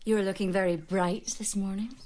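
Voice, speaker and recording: A woman plays a flirtatious, wealthy Roman woman in a radio drama, with a coaxing, breathy manner. Her voice sits at a middling pitch. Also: steady tone, moderate pace, darkish tone, natural voice.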